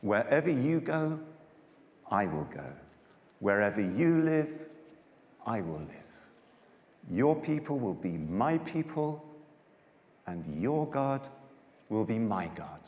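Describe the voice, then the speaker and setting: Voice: medium pitch, sing-song, slow, long pauses, darkish tone, dull audio. A man voicing a devoted, resolute young woman in a Bible dramatisation, solemn and rhythmic. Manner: solemn, rhythmic, rising and falling. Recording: echoey hall, long reverb.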